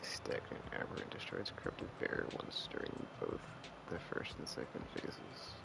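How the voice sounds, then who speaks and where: A man's voice: moderate pace, very noisy. A man streaming a video game with casual, rambling commentary, thinking aloud as he plays. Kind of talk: casual live gameplay commentary.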